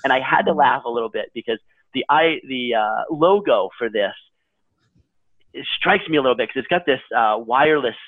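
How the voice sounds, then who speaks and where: A man talking casually as a podcast co-host, with a loud voice that carries. He rambles and restarts his sentences. His audio sounds thin and phone-like, with no room echo. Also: medium pitch, normal speed. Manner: loud, carrying voice. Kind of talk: casual chat. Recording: tinny phone-like line.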